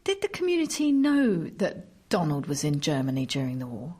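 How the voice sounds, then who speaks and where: A woman's voice: low voice, huge pitch swings, normal speed, warm tone, breathy edge, dry recording. A woman presenting a history documentary, moving between scripted narration and interview questions.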